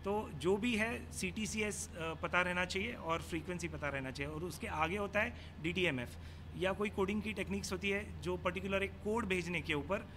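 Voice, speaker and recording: A man's voice: high-pitched, normal speed. A man with an Indian accent explains and demonstrates as a technical expert, mixing Hindi with English technical phrases. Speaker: technical expert being interviewed. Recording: clearly noisy on-location ambience.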